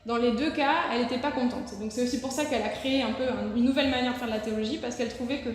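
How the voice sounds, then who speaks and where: A woman's voice: on the high side, steady tone, very fast. A young woman giving an informal theology talk, chatty and full of fillers and restarts. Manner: conversational, many fillers and restarts. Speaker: theology lecturer. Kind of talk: informal talk to a church audience. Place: church hall. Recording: very echoey hall, faint noise.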